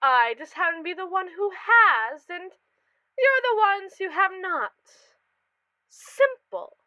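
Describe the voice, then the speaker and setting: Woman playing a haughty, high-voiced space mogul. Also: expressive, slow, pushed and bright, dry recording, highs cut off, full character voice. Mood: condescending, mock-sweet. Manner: pompous, theatrical, condescending. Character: haughty, callous female space mogul lording over colonists. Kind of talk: scripted ASMR roleplay monologue.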